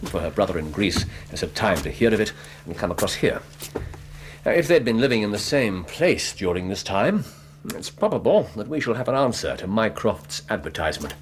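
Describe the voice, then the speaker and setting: An actor playing a brisk, keen-minded English gentleman detective in a radio drama, speaking precisely with lively bursts. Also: low-pitched. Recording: noisy radio drama with ambient sound effects.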